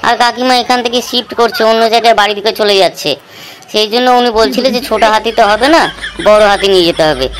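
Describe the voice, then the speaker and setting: Woman doing a cheeky high-voiced young prankster lad. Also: fast, a little hiss or hum, natural voice, low-quality recording. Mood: placating. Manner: slangy, bantering. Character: cheeky young male village prankster, teasing and scheming. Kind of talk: comedy cartoon voice acting.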